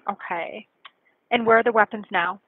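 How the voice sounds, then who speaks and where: Husky woman call taker on tinny phone. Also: mid-range voice, normal speed, no room sound. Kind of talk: procedural questioning on emergency call. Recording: tinny narrowband emergency phone line.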